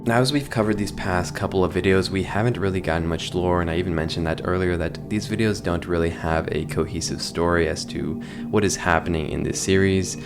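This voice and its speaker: A man's voice: deep voice, fairly even intonation, a little fast, hardly any pauses. A man hosting a video, giving narrated commentary on horror. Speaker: video host. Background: background music.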